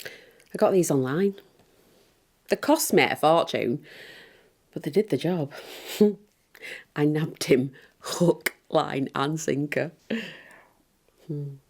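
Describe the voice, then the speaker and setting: A woman's voice: deep voice, takes it slowly, long pauses, a little mellow, heavily acted. A woman playing a brash, vain pantomime stepsister, gossipy and blunt in a comic monologue. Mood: smug, indignant.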